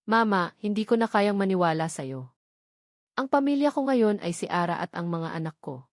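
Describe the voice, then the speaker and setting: A woman's voice: mid-range voice, animated, normal speed, no room sound, clean studio sound, close to an everyday voice. A woman voicing a protective, defiant grown son in a love story, firm and earnest. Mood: resolute.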